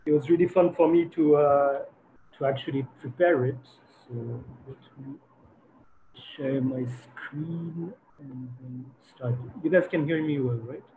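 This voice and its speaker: A man's voice: a little high, fairly even intonation, unhurried, slight background noise. A man in his forties from Switzerland giving an online talk as a guest photographer, speaking hesitantly with many fillers and restarts over a video call. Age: 40s. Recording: tinny phone-like online call audio.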